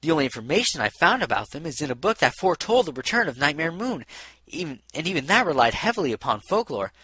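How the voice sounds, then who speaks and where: A man's voice: on the high side, very animated, quick pace, bright, forceful tone, husky, no room sound, voice pushed up, heavily acted. A man voicing a nervous, bookish young female unicorn from a storybook, hesitant and earnest. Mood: earnest, curious.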